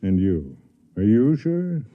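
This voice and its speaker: Low-voiced, kindly radio drama friend. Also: male voice, very animated, takes it slowly, phone-quality sound. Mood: concerned. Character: steady, kind, lovelorn male friend who narrates. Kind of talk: vintage radio drama acting and narration. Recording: music under the voice. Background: music.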